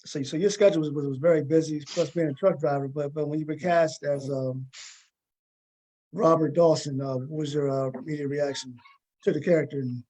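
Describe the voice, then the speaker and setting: Man, talk show host, higher-than-average pitch, conversational interviewing, halting delivery with repeated words. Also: steady tone, dark tone, low-quality recording.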